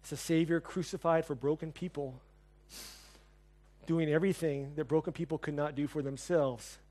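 A man's voice: fairly high pitch, fairly even intonation, normal speed, dark tone. A pastor closing a sermon and leading a prayer in church, earnest, building his lines in repeated phrases. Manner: earnest, repetitive praying cadence.

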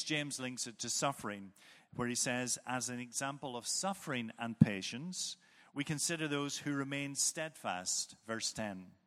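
A middle-aged man from Northern Ireland with a Northern Irish accent, preaching a sermon in a measured, explanatory way. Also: medium pitch, a bit bright. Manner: measured, explanatory, with occasional fillers and restarts. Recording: long hall reverb.

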